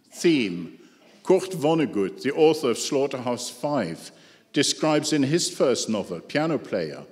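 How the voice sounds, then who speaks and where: A man with a non-native English accent giving a prepared lecture, measured and dryly ironic, with asides to the audience. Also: medium pitch. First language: not English. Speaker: speaker giving a talk. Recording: echoey hall with long reverb.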